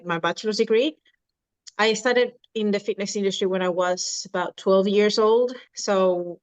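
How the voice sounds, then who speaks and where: A woman in her thirties from Venezuela, a dietitian and nutrition coach, answering podcast questions in unhurried, halting speech with many restarts. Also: mid-range voice, clear, resonant tone, dry recording, poor audio. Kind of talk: podcast interview.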